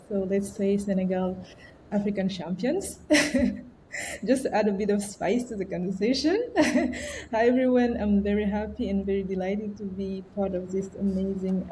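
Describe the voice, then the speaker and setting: A woman's voice: medium pitch, warm tone, a little hiss or hum, low-quality recording. A woman who leads a media platform, introducing herself on a webinar in a playful, cheerful way with filler words. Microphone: video call.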